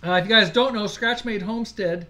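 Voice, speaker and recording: A man's voice: sits high, brisk, sharp tone. Chatty man hosting a live stream. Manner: chatty, laughs easily, loses his place. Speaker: livestream co-host. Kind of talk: casual live-stream chat.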